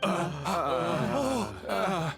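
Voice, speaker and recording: An actor playing a flustered elderly official in an anime game, hesitant, stammering and deferential. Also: male voice, high voice, animated, very slow, music in the background, exaggerated voice. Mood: fearful. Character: timid, flustered elderly male clan official. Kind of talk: English anime game voice acting.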